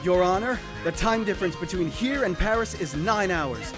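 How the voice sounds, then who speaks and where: A man plays a young rookie lawyer in a parody cartoon, flippant and a bit clueless. His high voice leaps around in pitch, full of bumbling bravado over music. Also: lively intonation, moderate pace. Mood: triumphant. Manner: flippant, sarcastic, stammering bravado. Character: cocky, dim, bumbling young male rookie defense attorney. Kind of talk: comedic parody voice acting.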